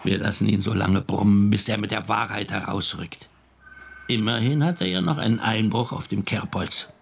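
An actor playing a measured, matter-of-fact chief police inspector in a radio crime drama. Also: male voice, fairly low pitch, vocal fry, a little hiss or hum. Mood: dry.

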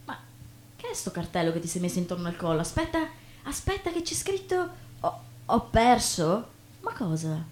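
A woman acting on stage as a chatty, naive young woman, expressive and colloquial. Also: mid-range voice, normal speed, lightly acted. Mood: curious, insistent. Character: chatty, inquisitive, self-deprecating young woman, breezily naive. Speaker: stage actress. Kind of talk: theatrical monologue and dialogue. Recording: noticeable background noise with hum.

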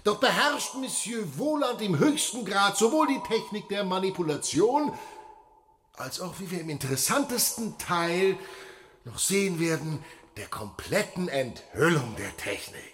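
An actor playing a pompous, gushing theatre master of ceremonies, making florid, drawn-out stage announcements in a radio drama. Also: male voice, high voice, lively intonation, a bit bright. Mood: smugly explaining.

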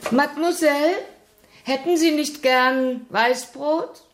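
A woman's voice: high-pitched, slow pace, noisy background. An actress playing a blunt, cheeky maidservant who banters familiarly with her master in a radio play. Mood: brisk. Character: outspoken, cheeky maidservant of a miser. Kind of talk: German radio play acting.

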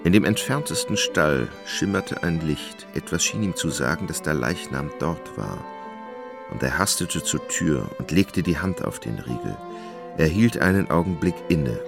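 Very deep, gravelly male narrator. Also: animated, unhurried. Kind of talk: literary radio drama narration. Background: music.

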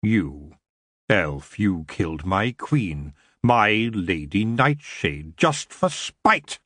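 A man voicing an ancient antlered elf king, smooth and unhurried, lazily polite with a menacing edge. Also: on the low side, sing-song, a bit bright, no room sound, studio quality. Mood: cold anger. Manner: lazy, drawn-out, coolly polite. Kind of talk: audiobook character voice.

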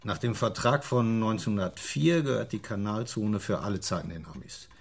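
An actor in a radio drama playing a glib, self-assured British embassy official, briefing fluently. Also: male voice, on the low side, expressive, moderate pace, vocal fry. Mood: brisk, confident.

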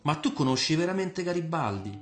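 Man playing a guarded young newcomer, raised pitch. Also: big pitch swings, fairly quick, reverberant, soft background music. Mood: guarded, curious. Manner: terse, challenging, questioning. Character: guarded, irritable, curious young male newcomer.

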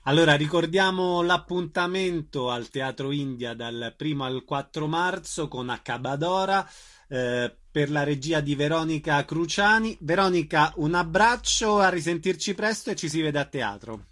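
A man's voice: a little high, lively intonation, moderate pace, fairly bright tone. A man hosting a radio programme about theatre, interviewing guests in a conversational way.

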